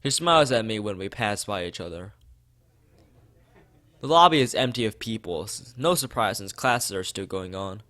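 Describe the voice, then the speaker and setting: A man's voice: fairly low pitch, animated, normal speed. A man reading visual novel text aloud as a let's player, often stumbling and rereading phrases. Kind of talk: reading game text aloud, narration and dialogue. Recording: guitar music behind the voice. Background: loud guitar game music.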